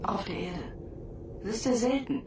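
An actress playing a composed, caring psychologist at ground control in a German radio drama, measured and probing. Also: female voice, medium pitch, a little mellow, slight background noise, close to an everyday voice. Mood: concerned, measured.

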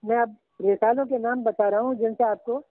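An older man teaching Urdu literature, slowly and patiently dictating references to a student. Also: very high-pitched, fairly even intonation, no room sound. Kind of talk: guiding a student over the phone. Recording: tinny phone line.

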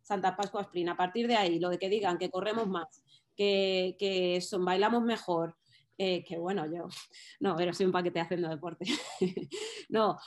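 A woman in her thirties, an author guest, talking thoughtfully on a video call from a small room at home, with many pauses and fillers. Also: lowish voice, fairly even intonation. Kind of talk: casual chat on a video call. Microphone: laptop webcam on a video call.

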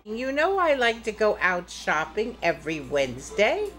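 A woman's voice: medium pitch, wildly swooping pitch, moderate pace, a bit bright, soft background music. Woman as a kindly, doting old storybook grandma. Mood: delighted.

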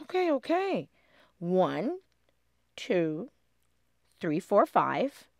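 Woman playing a storybook ladybug friend, squeaky, bouncy, animated, dry close sound. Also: very slow, vocal fry, dry recording, heavily acted. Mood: patient. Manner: high squeaky bubbly voice. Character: cheerful young female ladybug friend.

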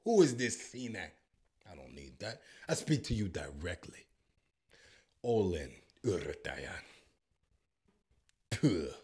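A man's voice: low voice, sing-song, slow pace, long pauses, a little mellow, husky. A man in his thirties with an American accent, joking and boasting as he reacts to a language-learning app, speaking into a handheld mic in a home studio. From United States. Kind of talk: playful reaction commentary. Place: home studio with purple lighting. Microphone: handheld microphone.

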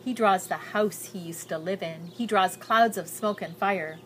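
A woman's voice: medium pitch, a little slow, fairly bright tone. An older woman reading a children's picture book aloud expressively, drawing out descriptive phrases with care. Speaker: storybook reader. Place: outdoors on a garden patio. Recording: outdoor recording with background noise.

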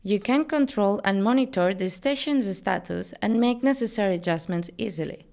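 A woman in her thirties speaks as a company presenter. Her voice sits at an average pitch for a woman. She delivers scripted product narration in an upbeat, promotional manner. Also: moderate pace, gravelly, darkish tone. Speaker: company presenter, digital marketing manager.

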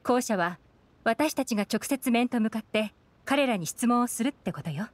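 A woman's voice: on the high side, expressive, moderate pace, no room sound, close to an everyday voice. An actress playing a cool, shrewd, assured young woman in an anime video game, poised and matter-of-fact. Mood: businesslike.

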